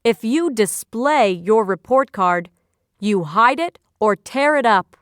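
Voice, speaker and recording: A woman reading a scripted educational quiz in measured, instructional phrases, like a teacher. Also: on the high side, expressive, clean tone, no echo, studio quality.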